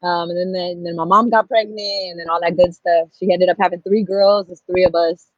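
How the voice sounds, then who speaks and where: A woman's voice: on the low side, steady tone, fairly quick, no room sound, muffled recording. A woman, candidly and reflectively telling her own story in casual talk. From Pasadena, California, United States. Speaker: podcast guest, storyteller and activist.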